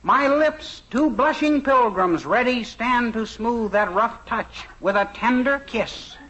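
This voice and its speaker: Eager polite teen boy, real high bright voice, bit stiff, old 50s radio sitcom style. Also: takes it slowly, exaggerated voice, rough recording. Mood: earnest reciting. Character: earnest, polite, eager teenage boy actor. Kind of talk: 1950s radio sitcom acting.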